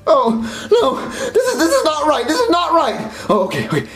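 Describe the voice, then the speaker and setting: A man's voice: very high-pitched, huge pitch swings, moderate pace, over music. A young man voicing a bewildered plush hero in a comic puppet skit at home, exclaiming in confusion. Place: suburban house indoors.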